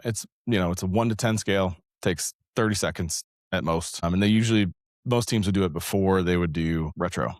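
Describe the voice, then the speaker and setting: A man's voice: deep voice, no room sound, clean studio sound. A man speaking as an interview guest, talking casually about his work as a developer and leader, thinking aloud and rephrasing as he goes. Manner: rambling, conversational, thinks aloud with repeated rephrasing.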